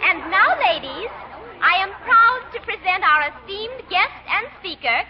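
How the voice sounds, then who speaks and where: A woman's voice: very high voice, animated, a little slow, lo-fi sound. A gushing club lady. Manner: formal, gushing. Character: fluttery club lady hosting a meeting. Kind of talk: 1940s radio acting. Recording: old radio broadcast with loud crowd and hiss.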